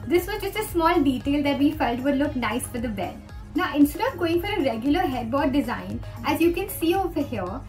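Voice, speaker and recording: Young woman, interior designer, upbeat explainer, faint background music. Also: sits high. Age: young adult. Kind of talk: presenting a design tour. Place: green-screen studio, indoors. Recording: distant mic with background music.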